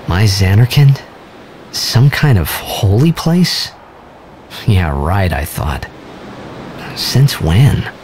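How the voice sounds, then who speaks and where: A man's voice: on the low side, sing-song, drawn-out words, long pauses, darkish tone, slight background noise, exaggerated voice, rough recording. A young man voicing a bewildered, impulsive teenage athlete in a video game. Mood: troubled. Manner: incredulous, impulsive.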